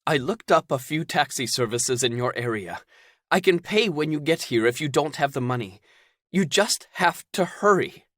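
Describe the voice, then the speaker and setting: A woman's voice: low-pitched, lively intonation, moderate pace, no echo, clean studio sound, voice pushed up. A man doing a scratchy, breathless, insistent woman. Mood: urgent. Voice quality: scratchy from crying. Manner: gently insistent. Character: breathless woman bearing grave news.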